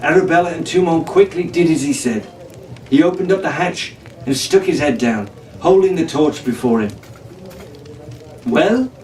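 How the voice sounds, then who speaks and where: A man's voice: on the high side, normal speed, a little mellow. A man reading an adventure storybook aloud as a dramatic narrator. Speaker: storybook reader. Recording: roomy, distant mic, faint background noise.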